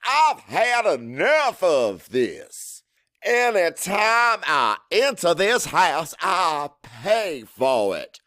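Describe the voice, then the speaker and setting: An actor playing a crude, hot-tempered Cajun father who narrates his own story in a gruff, expressive audiobook style. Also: sits high, drawn-out words, no echo, clean studio sound, full character voice. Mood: angry. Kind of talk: first-person dramatic audiobook narration.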